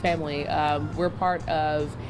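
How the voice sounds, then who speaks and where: A woman in her thirties with a low voice speaks conversationally as an interviewed activist. Music runs behind her words. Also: flat delivery, a little slow. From Chattanooga, Tennessee, United States. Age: 30s. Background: music bed.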